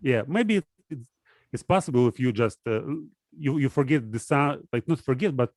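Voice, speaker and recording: A man in casual conversation, explaining ideas in a halting way with many restarts and fillers. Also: medium pitch, lively intonation, darkish tone, no room sound.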